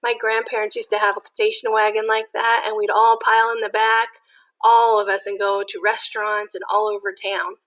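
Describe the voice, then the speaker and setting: Female storytime reader, high and bright, animated sing-song delivery, talks directly to young kids. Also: normal speed, low-quality recording. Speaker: elementary school teacher reading to children. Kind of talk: expressive children's picture book read-aloud with asides.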